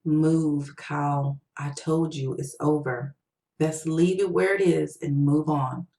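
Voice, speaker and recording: A woman's voice: sits low, fairly even intonation, takes it slowly, dark tone. Woman reading as a grave, weary man, echoey room. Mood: resolute. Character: Danny, solemn, weary man, superstitious ex-serviceman. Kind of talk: short-story audiobook character voice.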